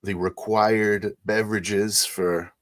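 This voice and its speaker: A man playing a jaded, gruff vampire party organizer, speaking casually and wryly in an improvised tabletop roleplay. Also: fairly low pitch, level tone, slow pace. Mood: coaxing, casual.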